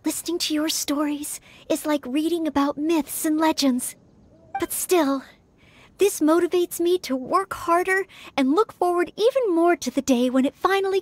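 A woman voicing an earnest, gentle young maid who hopes to be a knight, polite and humble, in an anime-style video game. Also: extremely high pitch, slight background noise.

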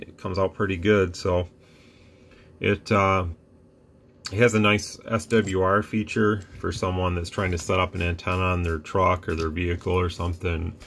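Low-voiced man demoing a radio. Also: gravelly. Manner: relaxed, rambling, explains with restarts and fillers. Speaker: radio reviewer. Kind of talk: casual product demo. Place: workbench room with radio gear.

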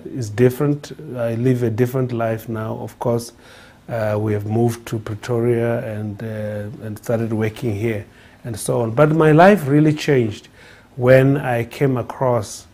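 A man's voice: medium pitch, fairly even intonation, unhurried, warm tone. A man in his forties from South Africa with a South African accent, giving an earnest personal talk full of fillers and restarts. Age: 40s. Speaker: guest speaker. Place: studio with printed backdrop.

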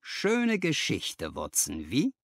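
A shrewd detective from a classic storybook, acted out by a male reader, who savors his words deliberately. His pitch rises and swings widely as he weighs things up, pleased and pensive, in a dry, close sound. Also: wildly swooping pitch, fairly bright tone, no room sound, full character voice. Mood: pleased, savoring.

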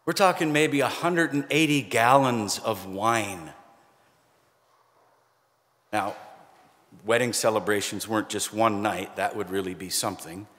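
A middle-aged man preaching a sermon from a pulpit, conversational and lightly humorous, pausing for emphasis. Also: fairly low pitch, fairly bright tone. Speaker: pastor. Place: church sanctuary, standing at a pulpit. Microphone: pulpit microphone, far camera. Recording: long church reverb.

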